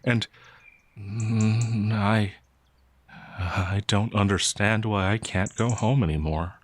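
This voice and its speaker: A man playing a gentle, naive young peasant werewolf, hesitant and easily flustered, in a fantasy audio roleplay. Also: on the low side, steady tone, slow pace. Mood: flustered, worried.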